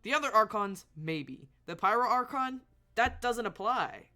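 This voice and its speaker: A woman's voice: fairly high pitch, animated, measured pace, pushed and bright. A woman giving casual live commentary as a game streamer, reactive and opinionated, with some swearing.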